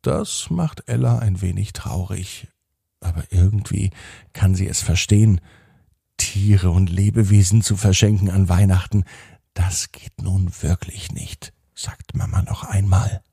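Slow, deep, gravelly male bedtime storyteller. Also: warm tone, dry recording, studio quality. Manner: deliberate, repeats phrases, drawn-out emphasis. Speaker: podcast host and storyteller.

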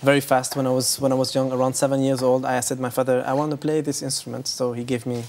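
A man's voice: medium pitch, flat delivery, fast talker, a little mellow, a little hiss or hum. A man in his thirties, a musician, answering interview questions with many fillers and restarts. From Lebanon. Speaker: musician guest. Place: bright room with big windows, indoors. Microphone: lapel.

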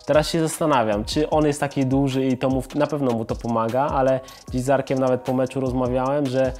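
A man's voice: mid-range voice, fairly quick, faint music behind. A young man, a footballer guest, casually telling anecdotes in a living-room interview and laughing often. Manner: lively storyteller, laughs often, repeats "dokładnie".

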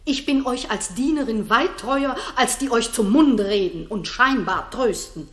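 A woman's voice: fairly high pitch, a little slow, fairly bright tone. An actress playing an outspoken, indignant lady-in-waiting in a bold, declamatory 1960s radio drama style. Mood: defiant. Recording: roomy 1960s radio studio recording.

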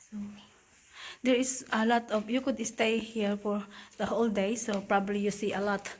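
A woman's voice: medium pitch, flat delivery, moderate pace. A middle-aged woman casually narrating a self-guided cave tour for her vlog, pointing things out to viewers as she films on a handheld phone. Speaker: travel vlogger. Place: inside a show cave. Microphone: handheld phone camera.

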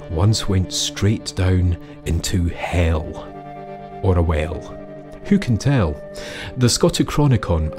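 A man narrating scripted folklore in a measured, theatrical way, with dramatic pauses. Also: low voice. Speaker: storyteller and host. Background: music under the voice.

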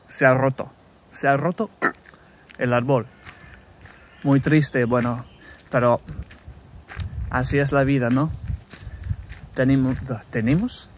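A man in his thirties from England, his native tongue English, talking to himself in a halting, self-correcting way while walking a wooded path, filming on a phone held at arm's length. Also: medium pitch, slight background noise. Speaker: language learner recording a practice podcast. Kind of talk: casual spoken practice monologue. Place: wooded countryside path outdoors.

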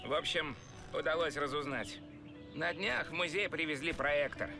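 A man voicing a cautious cartoon animal informant with a creaky, businesslike delivery. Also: fairly high pitch, normal speed, over music, natural voice.

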